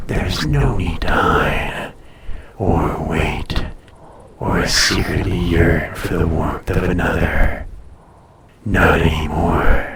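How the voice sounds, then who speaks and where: A man playing an ancient dragon familiar in an intimate roleplay audio, tender, possessive and teasing, soothing and often dropping to a breathy murmur. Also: rumbling low, echoey room, distant mic, background noise, exaggerated voice. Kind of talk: intimate roleplay audio drama, personal attention.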